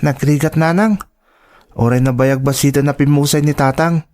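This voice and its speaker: A woman's voice: deep voice, fairly even intonation, moderate pace, a little mellow, lightly acted. A woman with an Ilocano Filipino accent playing a dutiful, anxious student in an audio drama. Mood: sad, worried. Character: dutiful, anxious student child devoted to struggling parents.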